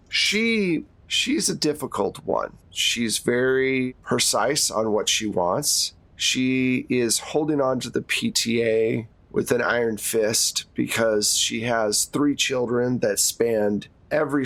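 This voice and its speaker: A man playing a weary school principal in their sixties, frank and gently exasperated, talking haltingly in a tabletop roleplay. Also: mid-range voice, no echo. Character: weary sixty-two-year-old school principal nearing retirement, frank.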